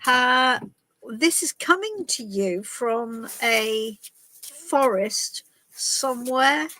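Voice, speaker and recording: Woman, stuffy with a cold, tarot reader doing live chat. Slightly high, slow and meandering. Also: lively intonation, pushed and bright, rough recording. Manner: chatty and rambling, with many ums and restarts. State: has a head cold, stuffy and coughing. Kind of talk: casual live-stream chat and card reading. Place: in the woods while travelling.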